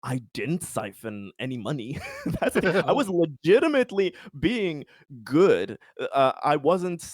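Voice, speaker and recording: A man's voice: fairly high pitch, big pitch swings, measured pace, dry recording, studio quality. A man playing a tabletop RPG, casually recapping his character's schemes in a rambling, sweary, self-amused way.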